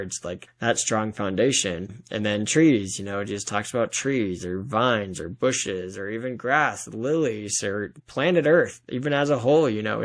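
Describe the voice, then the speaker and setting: A man's voice: fairly low pitch, a bit bright, dry recording. A man talking casually and reflectively as an interview guest, rambling a little as he thinks aloud.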